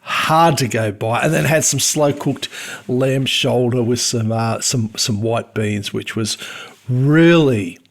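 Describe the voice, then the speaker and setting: A man's voice: mid-range voice, slow pace, creaky. Chatty Australian man, rambling. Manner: rambling and chatty, with many restarts and fillers. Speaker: podcast co-host. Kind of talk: casual podcast chat.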